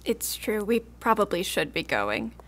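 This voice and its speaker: A woman's voice: mid-range voice, sing-song, moderate pace, fairly bright tone. A young woman acting as a wry, practical female college student in an audio drama. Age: young adult. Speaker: student actor.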